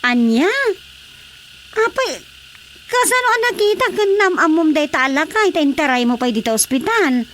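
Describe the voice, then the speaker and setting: A woman's voice: high voice, big pitch swings, moderate pace, long pauses, fairly bright tone, a little hiss or hum. A woman playing a skeptical, questioning village woman with an Ilocano accent in a radio comedy-drama. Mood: questioning, dismayed.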